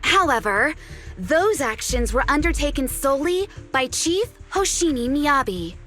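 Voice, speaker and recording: A woman playing a composed, polite young female officer in a video game, speaking in a formal, measured way. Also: high-pitched, sing-song, fairly bright tone, soft background music, exaggerated voice. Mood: calm, diplomatic. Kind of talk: dramatic video game voice acting.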